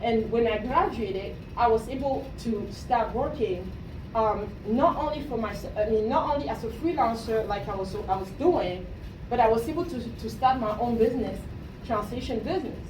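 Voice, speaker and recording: A woman's voice: a little high, moderate pace. A woman from Cameroon giving a motivational talk to students as a coach, checking in with questions as she goes. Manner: asks the audience questions, often adds "right?". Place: room at a university talk. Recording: roomy, distant mic, faint noise.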